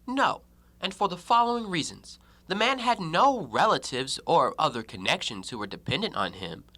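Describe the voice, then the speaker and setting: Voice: mid-range voice, sing-song, normal speed, bright, forceful tone, no room sound. A performer in an audio play acting an educated, self-justifying man with formal, articulate stage diction. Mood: coolly self-justifying.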